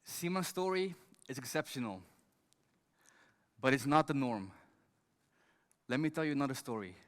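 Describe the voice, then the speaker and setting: Male education director, mid pitch, measured scripted storytelling, reverberant hall. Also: very animated, a bit bright. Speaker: education director and conference speaker. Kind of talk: storytelling talk on stage. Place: large conference hall.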